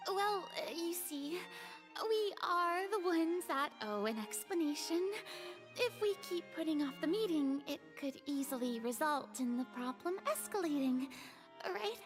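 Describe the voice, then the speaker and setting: High, theatrical young female ruler. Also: a little slow, music in the background. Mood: hesitant persuasion. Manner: expressive, pleading, self-important. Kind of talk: scripted video game acting.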